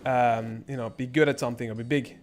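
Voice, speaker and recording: A man's voice: mid-range voice, steady tone, fairly quick, slight background noise. A young man born in Norway, chatting casually and rambling on his live stream, swearing freely. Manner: rambling, laid-back, lots of filler and swearing.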